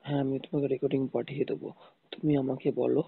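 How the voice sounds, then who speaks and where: A man with a rough, husky voice arguing insistently and repetitively in an intimate phone call. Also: medium pitch, flat delivery, very fast, no echo. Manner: insistent, repeats demands. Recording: muffled tinny phone call recording.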